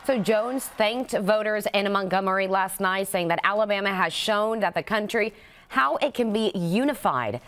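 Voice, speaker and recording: A woman's voice: medium pitch, normal speed, a bit bright, slight background noise. A woman with an American accent reading scripted television news as an anchor.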